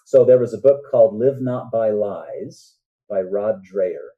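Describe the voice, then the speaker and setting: A man's voice: fairly low pitch, unhurried, husky, narrow, tinny audio. A man speaking as an author guest on a podcast, explaining things earnestly in a conversational way and often checking in with the listener.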